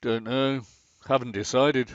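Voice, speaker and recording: An actor playing a defensive, pedantic middle-aged husband in an amateur radio play, who stammers when caught out. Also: male voice, mid-range voice, level tone, measured pace, clear, resonant tone, no echo, natural voice. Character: Martin, a bored middle-aged husband obsessed with online tank games. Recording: slightly muffled, lo-fi.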